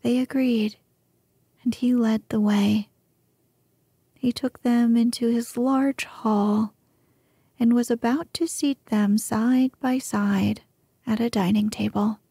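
A woman narrates an old tale in a soft, breathy whisper, very close to the microphone. Her tone is mellow and her pace slow. The sound is dry and intimate. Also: on the high side, fairly even intonation, dry recording. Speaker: storyteller. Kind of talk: whispered ASMR story reading.

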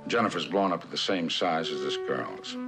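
Low-voiced earnest lawyer, music behind. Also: male voice, expressive, normal speed. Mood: grave, insistent. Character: earnest male lawyer, steady family confidant. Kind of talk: vintage television suspense drama acting.

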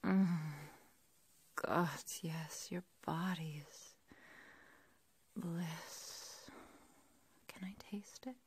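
A woman's voice: lowish voice, fairly even intonation, exaggerated voice. A woman playing an obsessive, lovestruck yandere girl kidnapper in a whispered, intimate, possessive ASMR roleplay. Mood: adoring. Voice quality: breathy, whispery. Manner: slow, intimate, halting, possessive.

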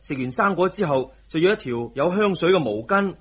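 An actor playing a cheeky, coaxing young son and protective husband in a radio drama, with a slightly husky voice. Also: male voice, high-pitched, expressive, a little fast, a bit bright, full character voice. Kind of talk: Cantonese radio drama acting.

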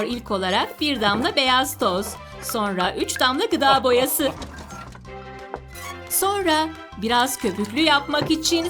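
A woman narrating a cartoon for young children, expressive and exclamatory, often asking questions and addressing the characters. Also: high-pitched.

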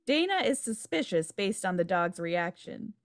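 A woman reads out script directions for a table read. Her voice sits at a middle pitch, recorded on a video call. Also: sing-song, normal speed. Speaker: stage directions reader. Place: indoor room, video call. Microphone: video call microphone.

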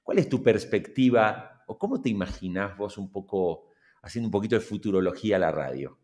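A man's voice: lowish voice, lively intonation, a little fast, a little mellow. A middle-aged man with a Latin American Spanish accent hosting an interview, building long, emphatic questions into a close microphone. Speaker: podcast host, interviewer. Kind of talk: conversational interviewing. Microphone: large mic close in front.

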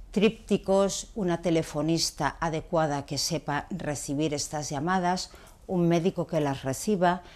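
Woman in her fifties, low-pitched, didactic, emphatic, doctor talking to camera in a small consulting room. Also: a little mellow. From Barcelona, Spain. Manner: didactic, emphatic, rhetorical questions. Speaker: gynecologist, video blogger. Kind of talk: explanatory talk to camera.

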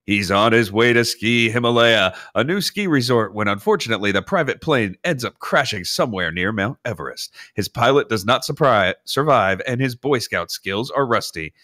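A man's voice: medium pitch, expressive, moderate pace, few pauses, pushed and bright. A man reading a script aloud comically as part of a live podcast. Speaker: podcast reader.

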